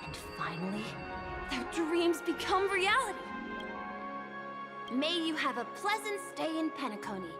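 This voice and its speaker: A woman's voice: high-pitched, big pitch swings, measured pace, long pauses. A woman playing a courteous, earnest, slightly hesitant young hotel bellboy in a video game. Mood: earnest, dreamy. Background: game music.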